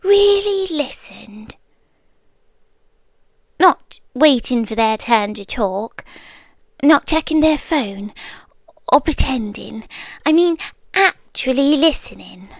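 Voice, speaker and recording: A British woman playing a possessive, menacing yandere girlfriend in an ASMR roleplay, soft-spoken and deliberate, often slipping into whispers, sweetly condescending. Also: high voice, lively intonation, no echo, heavily acted. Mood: quietly possessive. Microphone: close, dry microphone.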